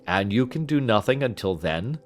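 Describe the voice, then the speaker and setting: Man reading as Dr. Watson, animated, curious gentleman. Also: fairly low pitch, moderate pace, soft background music, lightly acted. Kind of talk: audiobook character voice.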